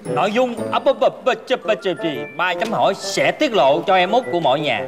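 A man's voice: sits high, expressive, fairly quick. A man in his thirties bantering on a comic family game show in a jokey, mock-formal way. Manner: jokey, mock-formal, stumbles while reading. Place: indoors in a large shop or showroom. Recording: music bed under the speech. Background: loud background music.

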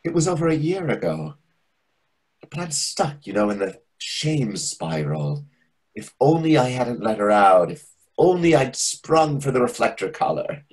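Chatty needy waiter, husky voice, swooping pitch, confessional, self-pitying, stage comedy on video call. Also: male voice, sing-song, unhurried, rough recording. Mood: agitated, distressed. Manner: confessional, complaining. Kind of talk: readers theatre over video call.

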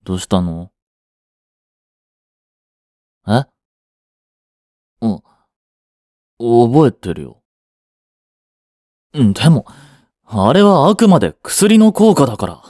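A man playing a flustered young boyfriend, wide-swinging pitch. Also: very animated, slow, pauses often, a little mellow, no echo, clean studio sound. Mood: groggy, sheepish. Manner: hesitant, stammering, brusque. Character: curt, flustered young boyfriend hiding his affection. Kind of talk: Japanese romance audio drama aimed at women.

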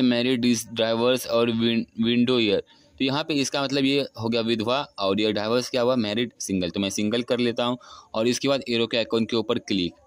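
A man's voice: medium pitch, dry recording. A young man of about twenty, walking through a phone app step by step in an unscripted tutorial. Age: young adult. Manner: step-by-step, repetitive narration. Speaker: tutorial host. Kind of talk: app screen-recording walkthrough.

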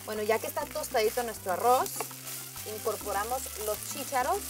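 A woman's voice: a little high, a little fast, rough recording. A woman hosting a cooking show, walking viewers through a recipe step by step in an upbeat, friendly way, calling them friends. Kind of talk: scripted cooking demonstration.

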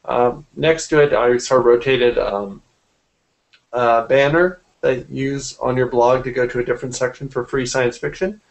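A man's voice: mid-range voice, steady tone, moderate pace, vocal fry, low-quality recording. Man explaining casually on a video call. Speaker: graphic artist being interviewed. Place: small indoor room at home, on a video call. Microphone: computer mic on a video call.

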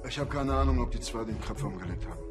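An actor playing a defensive, smooth-talking red-light club boss in a TV crime comedy. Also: male voice, medium pitch, rapid-fire, music in the background, close to an everyday voice.

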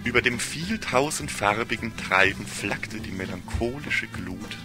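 A man's voice: fairly low pitch, expressive, unhurried, over music. A man narrating a literary audio story in a solemn, ornate fairy-tale storytelling manner.

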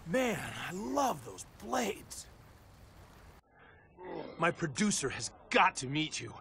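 Cocky Hollywood action hero, American, very lively pitch, flirty wisecracks, game cutscene. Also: male voice, slow pace, vocal fry, a little hiss or hum, heavily acted. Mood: flippant. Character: cocky, flippant male Hollywood action movie star. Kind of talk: video game cutscene acting. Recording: music behind the voice.

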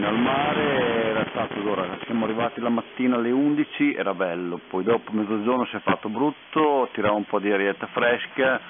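A man chatting casually as an operator over CB radio. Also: on the low side. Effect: CB radio transmission. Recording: tinny CB radio, loud static noise.

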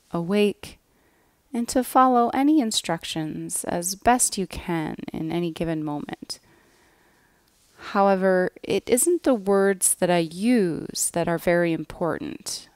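A woman leads a guided relaxation at a very slow, unhurried pace. Her voice sits on the low side and her phrases end softly. The sound is close and dry, with very little room around it. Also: no room sound. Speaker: yoga nidra guide. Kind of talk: guided relaxation meditation, scripted.

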